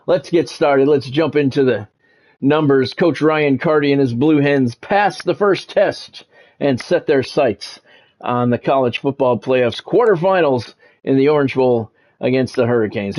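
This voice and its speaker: A man's voice: medium pitch, darkish tone. A middle-aged man doing live sports game commentary like a radio sportscaster, chatting with viewers and repeating phrases for emphasis. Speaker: game streamer and play-by-play announcer.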